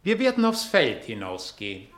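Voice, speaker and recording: An actor playing a sly, patronizing middle-aged father with a calmly murderous streak in a 1960s German radio play. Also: medium pitch, normal speed. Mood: slyly coaxing.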